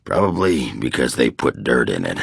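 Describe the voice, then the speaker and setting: Game casting: boozy, blunt old-school dwarf brawler, raspy growl, slow drawl with big swings in pitch. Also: male voice, sing-song, no room sound, studio quality, heavily acted. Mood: gruff, wry. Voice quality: gravelly, rough. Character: gruff, boozy, crude male dwarf warrior. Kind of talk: video game character acting.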